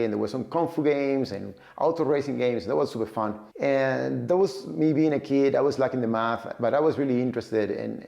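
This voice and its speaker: A man in his forties with a Cuban accent talks casually to camera. His voice has a gravelly edge, and his pitch sits in the middle for a man. He moves forward haltingly, filling gaps and repeating words as he thinks. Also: darkish tone. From Cuba. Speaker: data and AI tech professional. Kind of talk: casual personal talk to camera.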